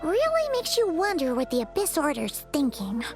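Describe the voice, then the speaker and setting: Very high squeaky little fairy girl. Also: normal speed, over music, exaggerated voice. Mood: chatty. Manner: bubbly, expressive. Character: small chirpy childlike female fairy companion. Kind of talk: video game dialogue.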